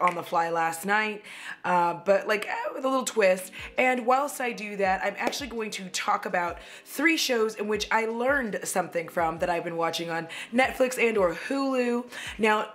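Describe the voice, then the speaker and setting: A young woman, chatting casually while doing her makeup, somewhat hoarse from losing her voice, rambling and laughing often. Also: medium pitch, fairly bright tone. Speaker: beauty vlogger. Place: at home, indoors.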